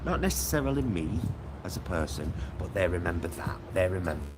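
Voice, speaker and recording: Low deep guy rambling to his vlog, kinda halting and thoughtful, loud traffic rumble all around. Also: very animated. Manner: halting, reflective, trailing into tag questions. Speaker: vlogger. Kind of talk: casual rambling vlog monologue to viewers. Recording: loud vehicle and street ambience noise. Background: loud vehicle rumble and ambience.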